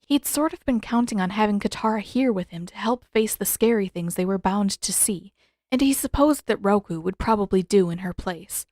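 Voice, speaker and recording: A woman's voice: medium pitch, moderate pace, a little mellow, dry recording, studio quality. A woman reading scripted fiction aloud as the narrator of an audiobook-style story. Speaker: narrator, fan fiction author.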